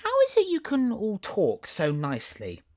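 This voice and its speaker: A polite, timid little girl, voiced by a storyteller with a lifted, soft voice. She asks questions gently and curiously, her tone rising and falling. Storybook reading. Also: medium pitch, huge pitch swings, normal speed, pitched above the performer's own voice.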